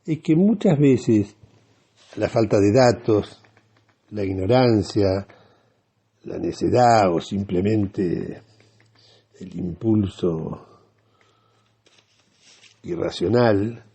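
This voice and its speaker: A man with a Rioplatense Argentine accent hosting a radio show in a reflective, halting and deliberate monologue. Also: fairly low pitch, animated, gravelly, warm tone, slightly rough.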